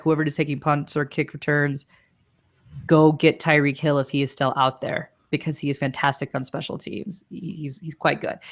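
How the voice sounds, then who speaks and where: A woman's voice: low-pitched, fairly bright tone. A woman hosting a fantasy football podcast in casual chat, giving confident, firm advice.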